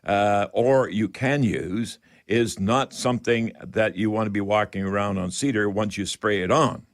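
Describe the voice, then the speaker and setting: Folksy, halting man on radio. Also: on the low side. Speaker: radio call-in host. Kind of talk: home improvement advice chat. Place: radio studio.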